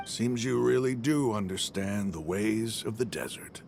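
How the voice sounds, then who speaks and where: A man's voice: on the low side, vocal fry, a little hiss or hum, heavily acted. A man voicing a passionate desert mercenary in an anime game, earnest and persuasive, pleading his case expressively. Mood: resigned.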